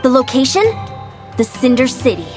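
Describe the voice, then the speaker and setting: A woman's voice: sits high, normal speed, over music, close to an everyday voice. A woman voicing an upbeat, composed young female warrior strategist in a video game, polite, firm and businesslike. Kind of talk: video game character acting.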